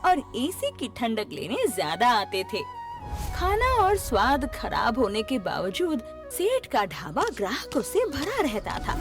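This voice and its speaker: A woman reads a scripted cartoon story as narrator. Her voice is a bit high and extremely lively in pitch, with music clearly playing behind it.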